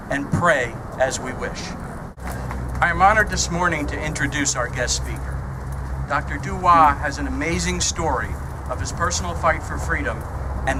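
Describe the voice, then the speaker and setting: A man acting as emcee at an outdoor ceremony, reading a prepared introduction in a steady, measured way. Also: on the high side, very noisy.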